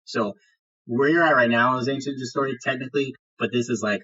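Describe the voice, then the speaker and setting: A man's voice: medium pitch, fairly even intonation, brisk, bright, forceful tone, breathy edge. A man working as game master, narrating a tabletop roleplaying game in an improvised, conversational way. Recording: dull lo-fi sound.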